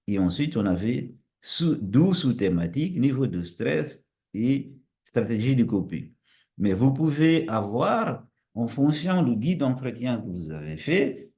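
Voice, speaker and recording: Mellow-voiced lecturer. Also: male voice, fairly low pitch. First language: Portuguese. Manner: explains step by step, repeats and corrects himself. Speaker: university lecturer. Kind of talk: recorded methods lecture.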